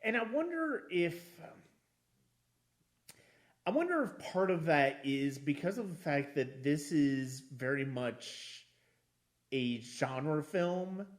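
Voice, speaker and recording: A man hosting a casual film discussion, halting and repeating phrases as he thinks. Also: on the high side, sing-song. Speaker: podcast host.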